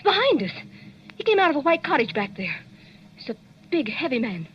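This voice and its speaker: An excitable young French reporter in an old radio drama, speaking broken English with a French accent. Her voice is high and swoops widely. The broadcast sound is dull and lo-fi, with a clear hiss behind. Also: brisk. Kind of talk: 1940s radio acting.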